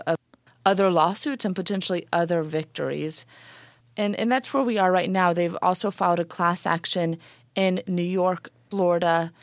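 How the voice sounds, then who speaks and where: A woman journalist, a guest on a radio show, explaining a legal matter clearly and briskly. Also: lowish voice, dry recording, studio quality. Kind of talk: radio interview answers.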